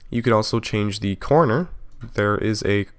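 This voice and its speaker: Casual, clear-voiced man giving a tutorial. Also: lowish voice, normal speed. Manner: informal, self-correcting, explains step by step. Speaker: video tutorial host. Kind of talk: casual software tutorial narration.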